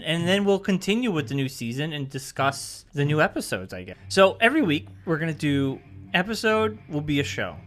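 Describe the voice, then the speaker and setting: A man in his mid-thirties, slightly higher-pitched than most men, hosts a relaxed podcast chat. He talks in a loose, rambling way, hedging his plans and laughing easily with his co-host. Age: 30s. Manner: easygoing, rambling, hedges with "I guess".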